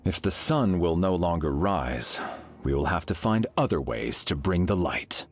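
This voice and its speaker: An actor playing a solemn, hopeful cosmic hero in a video game, speaking deliberately. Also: male voice, low voice, lively intonation, soft background music.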